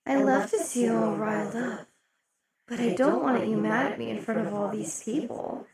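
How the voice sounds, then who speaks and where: A woman voicing a possessive, imperious demon queen in an ASMR roleplay, speaking slowly and sinking into soft whispers. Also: fairly high pitch, natural voice. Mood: silky, possessive. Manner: slow, velvety, dips into whispers. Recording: loud party crowd under the voice. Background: crowd chatter of a party.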